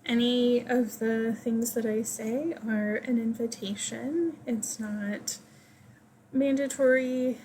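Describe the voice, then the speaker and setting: A woman leading a guided meditation, giving gentle, invitational instructions in short phrases with pauses between them. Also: on the high side, steady tone, a little hiss or hum, low-quality recording.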